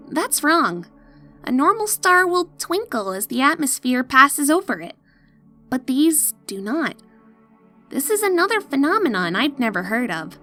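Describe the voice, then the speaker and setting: Woman playing a studious young mare, high voice, awed and inquisitive, expressive dramatic storybook narration, music underneath. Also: heavily acted. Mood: excited curiosity. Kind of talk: dramatic first-person audiobook narration. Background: background music.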